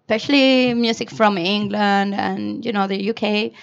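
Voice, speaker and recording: A woman's voice: medium pitch, steady tone, moderate pace, gravelly. A woman from Mallorca speaking English with a Spanish accent, chatting as a podcast guest and laughing often. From Mallorca, Spain. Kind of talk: casual chat. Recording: dull lo-fi podcast audio.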